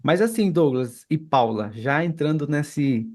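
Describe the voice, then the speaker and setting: A man's voice: a little high, animated, a little slow, a little mellow. A Brazilian man hosting a casual interview, often checking for agreement with little tag questions. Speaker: podcast host.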